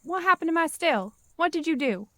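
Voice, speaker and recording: A woman's voice: extremely high pitch, lively intonation, a little fast, sharp tone, full character voice. A woman playing a kind, plainspoken rural moonshiner in an audio drama, casual and wry. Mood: amazed.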